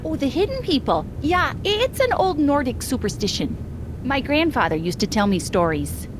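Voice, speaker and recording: A small-town hotel keeper, talks with a Minnesotan accent and a bouncy, varied pitch. She is folksy and breezy, an animated game character over a clear rumble. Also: moderate pace. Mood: chatty.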